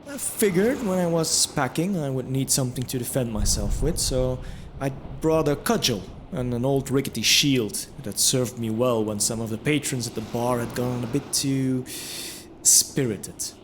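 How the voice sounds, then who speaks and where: A man's voice: mid-range voice, lively intonation, normal speed, background noise. A man narrating a scripted fantasy audio drama in the first person, reflective, with wry asides. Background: music under the voice.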